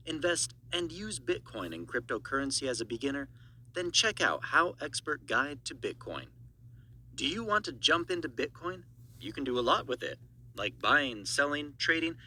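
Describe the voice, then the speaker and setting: A male narrator reads promotional audiobook copy with lively, swooping intonation. His voice is very bright and punchy, with slight noise behind it. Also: mid-range voice, normal speed.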